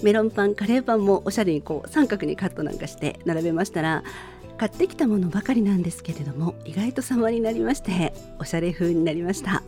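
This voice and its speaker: A middle-aged woman hosts a radio program in a soft, mellow voice. She talks alone in polite, gentle phrasing, sharing small stories. Faint music plays behind her. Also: medium pitch, expressive, fast talker. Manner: polite, formal phrasing with personal anecdotes. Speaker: radio show host. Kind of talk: gentle monologue radio talk.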